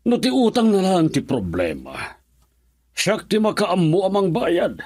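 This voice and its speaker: A man's voice: sits high, sing-song, measured pace, darkish tone, slightly rough, exaggerated voice. A Filipino man playing an assured boss in a radio drama.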